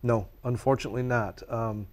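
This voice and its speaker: Czech-native male guest, mid-pitched, eager, passionate talker on nature. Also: steady tone, a little fast. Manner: earnest and passionate, speaks at length. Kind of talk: conversational interview answers.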